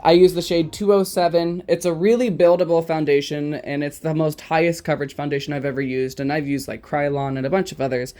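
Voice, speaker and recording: A man in his twenties, a drag queen, talking through his makeup routine in a casual, self-mocking way, with lots of ums and quick giggles. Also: fairly high pitch, level tone, mellow. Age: 20s. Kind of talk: casual makeup tutorial commentary.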